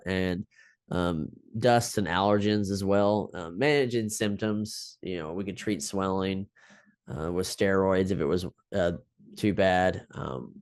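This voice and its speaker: Guy lecturing a class, low smooth voice, lots of ums, dry sound. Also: a little mellow, no room sound. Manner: lots of ums and restarts, explaining step by step. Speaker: teacher. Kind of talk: unscripted lecture.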